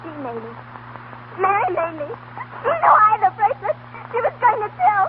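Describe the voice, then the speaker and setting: A very high, girlish voice in an old radio play, a schoolgirl anxiously defending herself. The sound is thin and tinny, with clear noise throughout. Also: huge pitch swings, a little fast, heavily acted. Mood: frightened, pleading. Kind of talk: 1930s radio drama acting. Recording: noisy, tinny 1930s radio broadcast.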